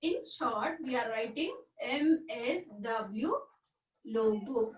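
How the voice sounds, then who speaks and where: A high-pitched woman teaches a school computer class. She talks straight to the children, repeats herself and asks questions as she goes. Her voice sits well above most women's. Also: lively intonation, slow pace, rough recording. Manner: repetitive, addresses children directly, asks questions. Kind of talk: recorded school computer lesson.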